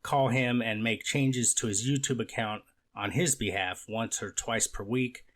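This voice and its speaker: A man playing a deliberate, fact-minded judge in a courtroom readers theater, speaking in a formal, measured and slightly halting way. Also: mid-range voice, steady tone, a bit bright, lightly acted. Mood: measured, factual. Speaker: judge reading and questioning counsel.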